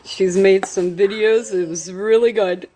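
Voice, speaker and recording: A woman's voice: mid-range voice, fairly even intonation, a little slow, warm tone, low-quality recording. A woman hosting a livestream, reacting to a clip with sassy, swearing remarks and frequent laughs. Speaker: livestream host.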